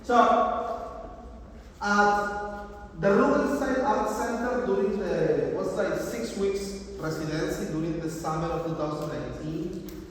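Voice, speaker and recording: A man from Peru with a Peruvian Spanish accent, giving an informal artist talk in halting English with "no?" tags. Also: sits high. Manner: halting, with tag questions like "no?". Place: art museum gallery. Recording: echoey hall, distant mic, faint noise.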